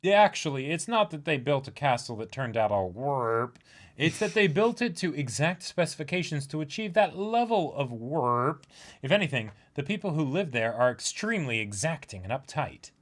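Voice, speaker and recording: A man's voice: fairly high pitch, sing-song, normal speed. A man co-hosting a manga reading stream, giving casual commentary and some reading aloud.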